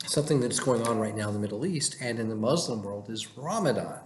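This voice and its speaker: A man with a mid-pitched voice records an advice vlog in an echoey room, with faint noise behind him. He talks casually and haltingly, often pausing and repeating words as he explains his points. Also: animated. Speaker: vlogger giving work advice. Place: indoor room with plain wall, working from home.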